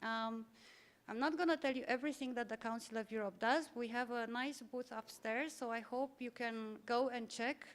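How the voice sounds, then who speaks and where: Woman, workshop moderator, conference room, fairly high pitch, explanatory, frequent fillers. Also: fairly even intonation, normal speed.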